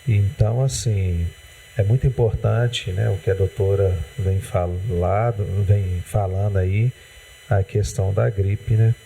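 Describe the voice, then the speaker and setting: A man's voice: lowish voice, steady tone, a little slow, creaky, dark tone, a little hiss or hum, low-quality recording. A man with a Brazilian accent hosting a TV health interview, asking rambling, hesitant questions full of "né". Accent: Brazilian Portuguese. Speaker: TV host. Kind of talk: interviewing a guest on a community TV health segment. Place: TV studio.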